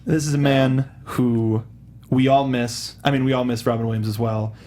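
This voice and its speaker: A man's voice: mid-range voice, fairly even intonation, normal speed, mellow. A man hosting a casual film discussion panel, chatty and given to acting out little asides. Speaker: podcast host. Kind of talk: casual panel chat about films.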